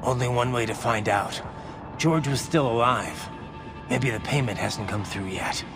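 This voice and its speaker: A man's voice: mid-range voice, a little slow, over music, natural voice. An actor playing a determined, grim male cyborg soldier in a video game cutscene.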